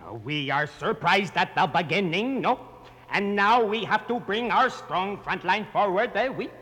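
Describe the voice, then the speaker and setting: A man playing a cheeky soldier with a husky voice. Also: high-pitched, lively intonation, unhurried, fairly bright tone, in a large hall. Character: foreign-accented male soldier, chatty and cheeky.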